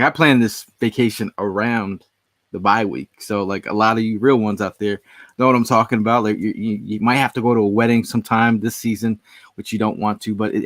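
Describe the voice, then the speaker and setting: A man's voice: lowish voice, dry recording. Laid-back man, dry close sound. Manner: laid-back, rambling, says "you know" often. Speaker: podcast co-host. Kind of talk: casual sports chat.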